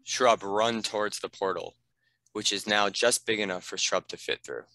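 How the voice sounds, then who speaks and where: A man's voice: fairly low pitch, steady tone, moderate pace, sharp tone, rough recording. A man reading scripted narration and stage directions for a fantasy script read.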